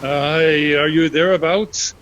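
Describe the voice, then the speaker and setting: An amateur actor reading a wry, stubbornly loyal soldier in a Shakespeare play. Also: male voice, steady tone, takes it slowly, fairly bright tone. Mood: wry, resolute. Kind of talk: amateur Shakespeare read-aloud. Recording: video call with faint rumbling noise.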